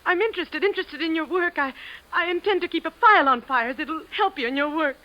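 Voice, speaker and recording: A woman's voice: extremely high pitch, brisk. An American actress playing an anxious, evasive wife and mother, stammering nervously in a 1950s radio drama. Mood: defensive, distressed. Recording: muffled vintage radio recording.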